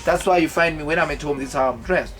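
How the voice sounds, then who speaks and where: A South African man in his fifties telling his life story in a rambling way, halting often with fillers and repeated words. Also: fairly high pitch, fairly bright tone, soft background music. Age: 50s. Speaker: autobiographical vlogger.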